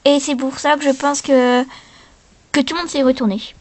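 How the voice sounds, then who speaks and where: A woman voicing gushing female talent-show characters in an amateur doll play, improvising with hesitant, repetitive, halting phrasing. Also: high voice, flat delivery, slight background noise, close to an everyday voice. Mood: moved, admiring.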